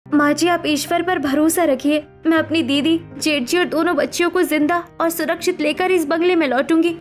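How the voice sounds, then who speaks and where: Earnest high-voiced young woman, acted by a woman, urgent. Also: fairly even intonation, normal speed, soft background music. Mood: resolute. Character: rich young daughter-in-law, determined, anxious, caring. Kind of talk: dramatic Hindi cartoon story acting.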